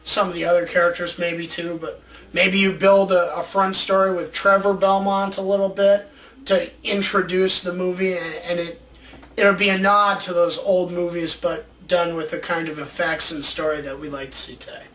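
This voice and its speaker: A man co-hosting a casual chat show, pitching ideas with a fan's enthusiasm. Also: little pitch movement, normal speed, fairly bright tone. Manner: enthusiastic, fannish. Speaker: co-host of a movie ideas show. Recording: distant mic with faint background noise.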